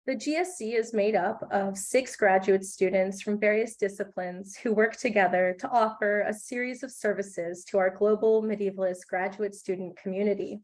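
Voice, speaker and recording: A woman's voice: mid-range voice, steady tone, moderate pace, hardly any pauses, a little mellow. Wheezy woman opening a video-call event. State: wheezy, recovering from a cough. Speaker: graduate committee chair and event host. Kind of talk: reading prepared welcome remarks.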